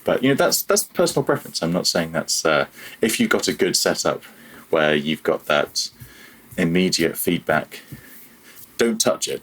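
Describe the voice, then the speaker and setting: Deep slightly raspy guy chatting about tech on a podcast, keeps rewording stuff mid sentence. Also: fairly bright tone. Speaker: guest, freelance audio software developer. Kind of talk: casual technical interview chat.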